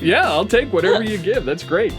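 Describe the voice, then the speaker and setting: Chuckling host over a music bed. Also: male voice, high voice, big pitch swings, a little fast, creaky. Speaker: game show host. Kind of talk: podcast hosting.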